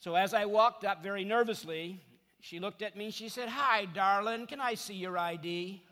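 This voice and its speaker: A middle-aged man from Canada delivers a guest sermon in a lively, storytelling way, poking fun at himself. His voice sits high and sounds bright and forceful. It rings out with a long reverb tail in a large hall. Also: normal speed. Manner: chatty, self-deprecating storyteller. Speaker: guest preacher. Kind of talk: sermon with humorous stories.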